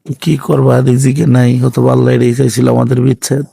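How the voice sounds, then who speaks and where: Regretful young Bangladeshi boyfriend played by a man, subdued and pleading, creaky husky voice, phone-call drama. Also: mid-range voice, level tone, quick pace, dark tone, no echo. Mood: sad, resigned. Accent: Bangladeshi Bengali.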